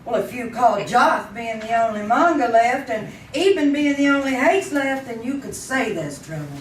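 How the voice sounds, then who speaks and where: An actress plays a dour, weary old frontier woman in an old-time radio Western. She is blunt and folksy, with a rural Southern hill accent and a fairly high voice. The sound is roomy and somewhat distant. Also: lively intonation, normal speed, a little hiss or hum, natural voice. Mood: grim, worried. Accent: American rural Southern hill country. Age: older. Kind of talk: 1950s Western radio drama acting.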